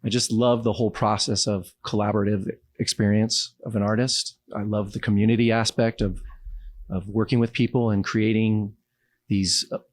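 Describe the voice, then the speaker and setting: A man's voice: fairly low pitch, level tone, moderate pace, no echo. A man from Los Angeles with an American accent, talking casually and reflectively as an interview guest. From Los Angeles, United States.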